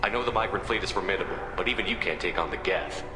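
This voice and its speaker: An actor playing a direct, businesslike military captain in a video game, asking probing questions. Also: male voice, sits low, quick pace, close to an everyday voice. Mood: probing, steady. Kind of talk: video game dialogue acting. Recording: echoey hall reverb, music behind.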